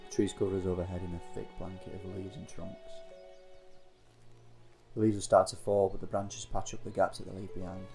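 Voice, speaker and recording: A man's voice: deep voice, level tone, fairly quick, gravelly, warm tone, faint music behind. A man narrating a scripted first-person audio story in a descriptive, reflective manner. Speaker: narrator of an audio story, playing a scout leader.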